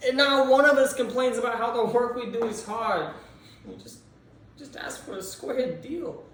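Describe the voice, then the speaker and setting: A man's voice: extremely high pitch, normal speed, fairly bright tone, pitched above the performer's own voice. A young man playing a streetwise, earnest newsboy strike leader in a theatrical monologue, pleading in colloquial street slang. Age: young adult. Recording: very echoey room, distant mic, faint noise.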